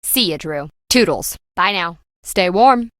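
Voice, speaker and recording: A woman's voice: on the low side, animated, unhurried, no room sound, studio quality. An actress playing a brash, slippery woman treasure hunter in a video game, with wry, breezy, slangy teasing. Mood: sarcastic.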